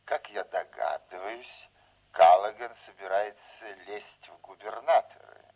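An older man acting in a radio play as a folksy, scheming veteran politician, unhurried, fatherly and insinuating. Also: lowish voice, lo-fi sound. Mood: insistent, scheming.

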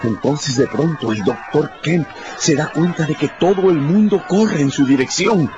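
A man's voice: fairly high pitch, a little slow, background noise. A man giving theatrical, emphatic scripted narration for a radio drama. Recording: muffled, under prominent music. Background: dramatic music.

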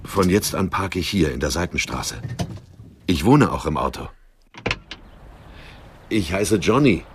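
An actor playing a brooding, lonely van driver in a radio drama. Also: male voice, low voice, lively intonation, unhurried, a little hiss or hum. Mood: earnest. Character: brooding working-class delivery van driver Johnny, lonely, devoted.

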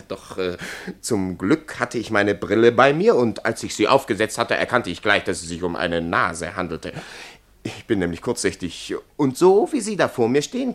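Chatty bootlicking cop in a radio drama, rambles on, really lively pitch. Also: male voice. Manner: rambling, digressive, servile. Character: chatty, obsequious, near-sighted male police officer angling for money. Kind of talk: radio play acting.

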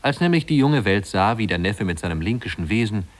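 A man narrating a literary tale in a 1950s radio drama, in a measured, wry storytelling manner. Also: on the low side, animated.

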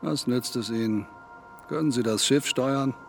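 An actor in a German radio drama playing a laconic, unmoved gravedigger who speaks in a terse, dry way. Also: male voice, mid-range voice, unhurried, vocal fry, faint music behind.

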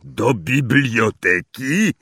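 Man acting out a big gruff groundskeeper, slow and folksy. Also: medium pitch, lively intonation, fairly bright tone, no echo, studio quality, full character voice. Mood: gruff, guarded. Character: big shaggy gruff good-hearted male groundskeeper.

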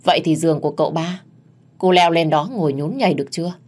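Woman playing a defiant, sharp-tongued young housemaid. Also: low-pitched, quick pace. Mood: mocking retort. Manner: retorting, stubborn.

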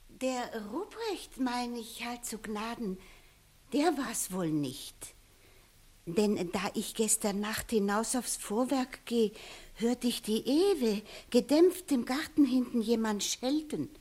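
Earnest village woman, superstitious witness, classic radio play. Slow, emphatic, dramatic, slightly husky delivery. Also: a little high, expressive. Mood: hushed, awed. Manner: dramatic, emphatic, drawn-out storytelling with hushed passages. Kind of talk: 1960s German classical comedy radio play acting.

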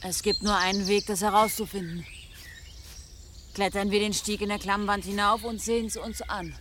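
Actress as a steadfast, spirited medieval wife. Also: female voice, medium pitch, steady tone, moderate pace, a bit bright, a little hiss or hum, close to an everyday voice. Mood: firm, curious. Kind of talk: German radio drama acting.

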